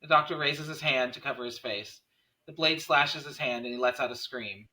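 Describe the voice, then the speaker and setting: Bright-voiced man narrating a screenplay. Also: medium pitch, moderate pace, low-quality recording. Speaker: stage-direction narrator. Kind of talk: scripted narration at a table read.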